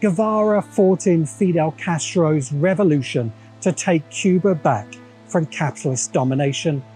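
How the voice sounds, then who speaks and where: Wry English male essayist; soft husky voice, slightly high, reading scripted narration. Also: normal speed, soft background music, rough recording. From England. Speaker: video essay narrator and host.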